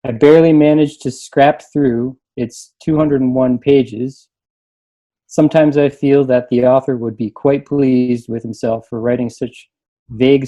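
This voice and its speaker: A man's voice: medium pitch, mellow. A man who works as a librarian, reading aloud and chatting casually on a panel. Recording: dull, muffled lo-fi sound.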